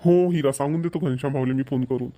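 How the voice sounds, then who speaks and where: A man voicing a practical middle-aged village father, with a brisk, instructing manner. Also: medium pitch, steady tone, dark tone, natural voice.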